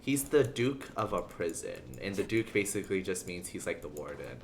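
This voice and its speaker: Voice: sits low, sing-song, moderate pace. A game streamer chatting casually and ramblingly to viewers while playing. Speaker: livestreamer. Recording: background noise under the voice. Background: video game sounds.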